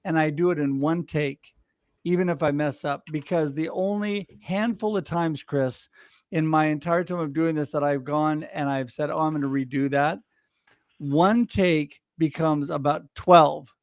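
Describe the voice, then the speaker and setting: A man hosting a livestream, chatting casually and telling stories with enthusiasm and quick laughs. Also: a little high, fairly even intonation, dry recording. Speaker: livestream host. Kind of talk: casual chat.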